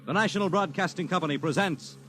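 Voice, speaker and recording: A man's voice: high voice, fast, over music. A man announcing in a dramatic 1950s radio style on an old, noisy recording.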